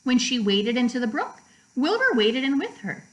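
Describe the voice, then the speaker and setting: A woman narrating a children's book aloud like a teacher, with measured, deliberate phrasing for young listeners. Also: sits high, sing-song, highs cut off. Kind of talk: storybook read-aloud narration.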